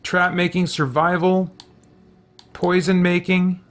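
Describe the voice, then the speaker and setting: A man's voice: sits high, flat delivery, takes it slowly, fairly bright tone. A man giving casual let's-play commentary, muttering to himself hesitantly and exclaiming mild oaths as he thinks aloud. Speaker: gaming video host.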